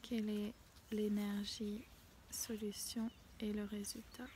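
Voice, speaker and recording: Soft-spoken woman with a head cold. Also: mid-range voice, flat delivery, a little hiss or hum. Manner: hesitant, many pauses and fillers, often drops to a hushed near-whisper. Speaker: oracle card reader. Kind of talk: casual spiritual card reading. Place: outdoors in a small mossy spot with violets.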